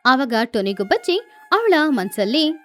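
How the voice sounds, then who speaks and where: A woman narrates a children's tale in a very high voice. Her pitch swings in a lively way, and music runs behind the narration. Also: a little slow. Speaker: story narrator. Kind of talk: scripted children's story narration.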